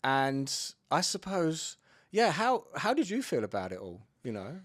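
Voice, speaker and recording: A man's voice: fairly high pitch, wildly swooping pitch, a little slow. A man hosting a podcast interview in a hotel room, joking around and rambling, stumbling and restarting his sentences. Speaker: podcast host.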